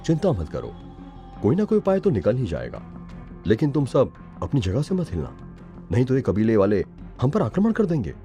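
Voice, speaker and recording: A man voicing a loyal, watchful companion in a cartoon adventure, earnest and animated. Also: mid-range voice, moderate pace, faint music behind. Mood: reassuring.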